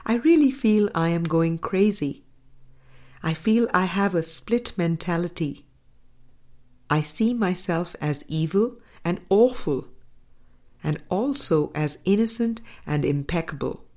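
A woman reading a spiritual book aloud as a scripted narration, in measured, deliberate phrases with pauses. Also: lowish voice, expressive, darkish tone. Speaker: audiobook reader.

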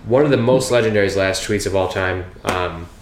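Man, fairly low voice, relaxed joking podcast co-host, echoey room, faint background noise. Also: brisk. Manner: relaxed, jokey, chatty. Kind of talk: casual sports podcast chat. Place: house, indoors.

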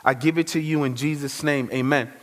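Dude preaching his testimony, talks casual with slang, voice a bit high, big echoey hall. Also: fairly quick. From Chicago. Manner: energetic and conversational, with slang. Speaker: guest speaker. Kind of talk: testimony sermon. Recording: reverberant hall.

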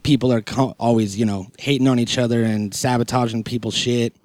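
A man's voice: medium pitch, fairly even intonation, brisk, a little mellow, no echo, clean studio sound. A man chatting casually and informally on a podcast, using slang and plenty of filler phrases. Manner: informal, slangy, peppered with "you know".

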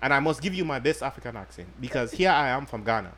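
A man's voice: medium pitch, lively intonation, fast, a bit bright, slight background noise, voice pushed down, close to an everyday voice. A man putting on a pompous, mock-formal West African salesman voice for a joke condom ad on a podcast. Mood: salesman pitch. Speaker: podcast co-host.

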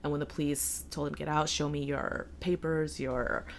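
A woman's voice: low voice, flat delivery, normal speed, vocal fry, slight background noise. A woman in her thirties chatting casually and telling a family story, full of fillers, restarts and quoted asides. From United States. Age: 30s. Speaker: guest. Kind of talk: casual conversation, personal storytelling.

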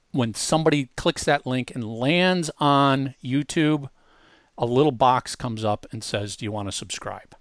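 A man's voice: mid-range voice, no echo, clean studio sound. A man hosting a casual screen-share tutorial, explaining things informally step by step. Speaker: host demonstrating a music marketing tool.

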